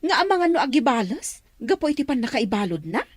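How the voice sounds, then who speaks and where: A woman's voice: high voice, sing-song, quick pace, husky, no echo, studio quality, heavily acted. A woman playing an agitated, scolding grown daughter in a radio drama. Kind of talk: Ilocano radio drama acting.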